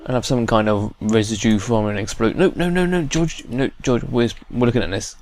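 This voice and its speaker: A man's voice: medium pitch, brisk, darkish tone, a little hiss or hum. A man hosting a gameplay video, commenting casually and informally with playful exasperation. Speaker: gaming video host.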